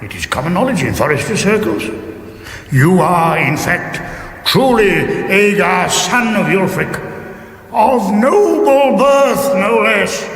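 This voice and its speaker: A man's voice: sits high, expressive, slow pace, a bit bright, heavily acted. A man playing a smug, bored, gossipy dragon in a radio comedy fantasy, urbane and pompous with henpecked grumbling. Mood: grandiose. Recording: echoey reverberant radio production, faint noise.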